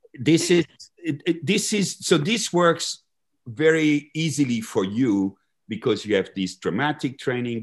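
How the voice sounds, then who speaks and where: A man in his fifties hosting a conversational interview over a video call, halting and repeating phrases as he thinks. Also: fairly low pitch. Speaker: host, conductor. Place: large living room, video call.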